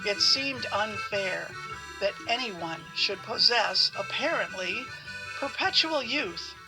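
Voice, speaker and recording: A woman narrating a fantasy audio play in a measured storybook style. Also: mid-range voice, lively intonation, music in the background, rough recording. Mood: measured, wry.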